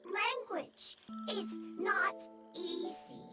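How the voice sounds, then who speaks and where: A woman voicing a small, earnest mushroom creature in a video game, speaking haltingly in broken short phrases. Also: extremely high pitch, distant mic, over loud music, exaggerated voice. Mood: timid.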